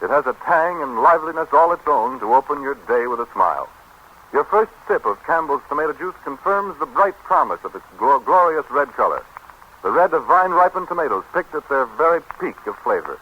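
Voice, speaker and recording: A man's voice: fairly high pitch, very animated, fairly quick, pushed and bright. An American man announcing on 1930s radio, with a polished, enthusiastic salesman's delivery. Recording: dull, hissy 1930s radio broadcast.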